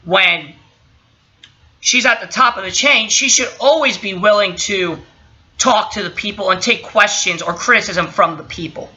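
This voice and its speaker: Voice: medium pitch, moderate pace, bright, forceful tone, muffled recording. An indignant commentator giving impromptu, opinionated reactions, swearing and stressing points emphatically. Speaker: commentator reacting to a recorded call.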